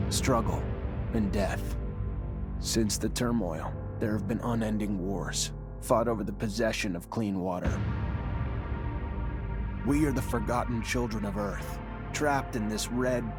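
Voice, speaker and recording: A man acting a solemn, low-voiced young soldier. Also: a little slow, close to an everyday voice. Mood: grave, solemn. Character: young male technomancer cadet, earnest, composed, dutiful. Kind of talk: scripted game narration and dialogue. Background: background music.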